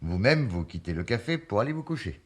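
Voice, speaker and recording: Probing, animated, low-voiced investigator. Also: male voice, a little fast. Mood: methodical. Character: probing, tenacious male amateur investigator. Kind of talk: French radio mystery play acting.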